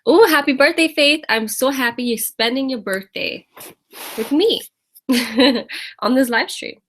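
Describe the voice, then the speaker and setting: A woman's voice: sits high, a little slow, fairly bright tone. An American woman hosting a casual live fitness Q&A, chatting and laughing as she greets viewers by name. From United States. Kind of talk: casual live-stream chat.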